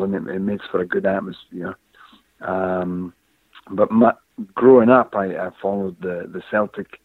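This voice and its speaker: Interview guest, male, from Scotland, low-pitched, hesitant, heard over a dry phone line. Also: husky, no room sound. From Scotland, raised in northern England. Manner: hesitant, many fillers and restarts. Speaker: guest, former football player. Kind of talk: casual interview, storytelling.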